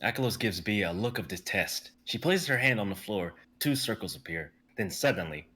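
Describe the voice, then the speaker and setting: Guy narrating a live reading, low voice, forceful, steady. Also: moderate pace, husky. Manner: steady, plain storytelling.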